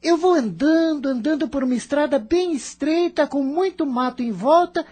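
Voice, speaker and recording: High-pitched teen boy played by a woman, irritable, selfish, dreamy, grumbling, audio drama acting. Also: normal speed, mellow, lightly acted. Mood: dreamy, explaining. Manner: curt, complaining.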